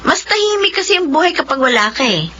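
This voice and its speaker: A young woman playing a playful, teasing younger sister in a Filipino radio drama, with expressive delivery. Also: high voice, fast talker, full character voice. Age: young adult. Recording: dull lo-fi radio, background noise.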